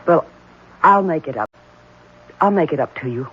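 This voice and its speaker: In a muffled old radio recording, a young fiancée, tender and anxious. Her pitch rises and falls widely as she speaks in gentle, halting, earnest phrases. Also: expressive, slightly rough, exaggerated voice. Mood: soothing, tender. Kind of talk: old-time radio drama acting.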